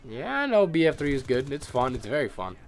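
A man's voice: medium pitch, very animated, brisk, slight background noise. Tired young man ranting about games. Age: young adult. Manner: rambling, ranting, heavy swearing. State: tired, scratchy voice. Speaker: gamer. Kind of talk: casual gameplay commentary.

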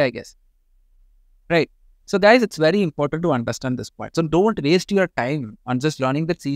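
Indian-English man, thirties, high voice, tech vlogger, dead-dry close mic. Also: no echo. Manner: animated, gestures a lot while explaining. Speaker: tech YouTuber. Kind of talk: casual talk to camera. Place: small home studio room.

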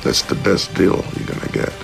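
An actor playing a cold, implacable hitman, hushed and merciless as he bargains. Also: normal speed, close to an everyday voice. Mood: cold threat. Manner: flat-worded, hushed, implacable. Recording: film clip under loud music.

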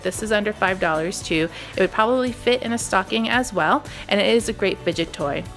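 A woman's voice: mid-range voice, a bit bright. A woman in her thirties, a mother and channel host, narrating a scripted voice-over in an upbeat, recommending tone. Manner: upbeat, recommending toys to parents.

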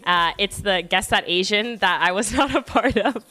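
A woman's voice: medium pitch, moderate pace, sharp tone. A young adult woman streaming from home, chatting casually as she reacts to a video, rambling and laughing often. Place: home room, indoors. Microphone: studio mic on boom, headset.

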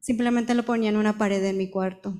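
A woman's voice: medium pitch, steady tone, fast talker, dark tone. A woman interpreting a talk live before a hall audience, fluent, sometimes restarting a word. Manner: fluent, sometimes restarts and lifts her voice at light moments. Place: large hall with audience, indoors.

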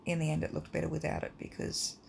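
A woman with a croaky voice from hay fever, teaching a drawing lesson in a relaxed, rambling way with many ums. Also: low-pitched, fast, a little hiss or hum. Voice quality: croaky, gravelly. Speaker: art teacher. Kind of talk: casual drawing tutorial voice-over.

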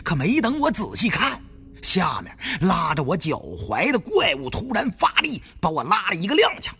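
A man's voice: sits high, huge pitch swings, moderate pace, vocal fry, sharp tone. Male audiobook narrator, dramatic adventure thriller, suspenseful, extremely varied intonation, light music bed. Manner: theatrical, suspenseful.

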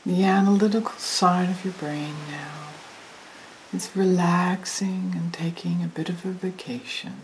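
Female meditation guide: low-pitched, soothing, very unhurried, breathy near-whisper, trance-induction delivery. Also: poor audio. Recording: hissy recording with steady noise.

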